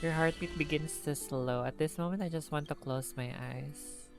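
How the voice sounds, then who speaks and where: A woman's voice: low voice, normal speed, vocal fry, over music. A woman with a Filipino accent, live streaming a game and reading its dialogue aloud.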